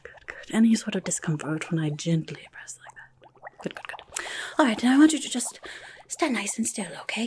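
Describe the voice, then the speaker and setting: A woman's voice: fairly high pitch, a little mellow, natural voice. A young woman voicing a rude, chaotic female goblin nurse in an ASMR roleplay, muttering fussily and repeating her words, close to the microphone. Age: young adult. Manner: fussy, muttering, repeats words, blunt asides. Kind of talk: whispered and soft-spoken ASMR roleplay.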